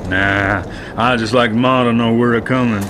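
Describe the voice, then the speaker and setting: A man's voice: mid-range voice, fairly even intonation, normal speed, lightly acted. An actor playing a menacing rural gunman in a radio drama, with a folksy, taunting drawl. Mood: folksy, insistent. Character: menacing rural gunman, chuckling, living with his Ma. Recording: very noisy radio drama soundscape.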